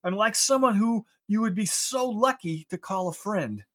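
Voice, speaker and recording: A man's voice: high voice, expressive, moderate pace. A man hosting a casual radio show, chatting and interviewing in a rambling, hesitant way. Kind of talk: casual studio banter and interviewing.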